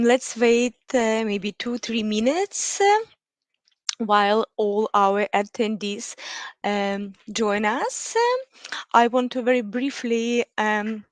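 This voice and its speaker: Woman, 30s, from Ukraine, webinar host on a video call, halting English, dry close sound. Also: on the high side, no room sound. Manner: hesitant, many fillers, simple non-native English. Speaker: webinar host, marketing manager. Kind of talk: live webinar introduction. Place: office.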